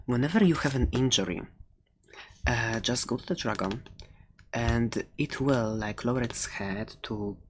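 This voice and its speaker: A woman playing a friendly, chatty fantasy shopkeeper in a soft ASMR roleplay, playful and hesitant as she rambles through her sales pitch. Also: a little slow, a little hiss or hum. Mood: informative, chatty.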